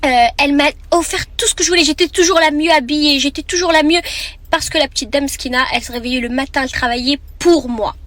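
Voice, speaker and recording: A woman in her twenties from France, telling a personal story in a casual, animated way and sprinkling in Arabic phrases. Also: high voice. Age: 20s. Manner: animated, fast, chatty, mixes Arabic phrases. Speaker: content creator.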